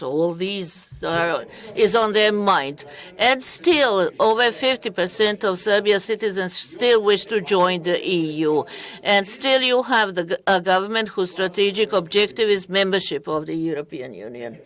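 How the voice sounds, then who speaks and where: A woman interpreting a parliamentary speech live, with halting, deliberate phrasing broken into short pieces. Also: mid-range voice, fairly bright tone.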